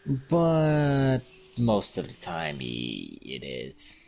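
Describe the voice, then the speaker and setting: A man's voice: deep voice, huge pitch swings, extremely slow, gravelly, a little mellow. A man in casual chat with a friend, answering with short, dry counterpoints.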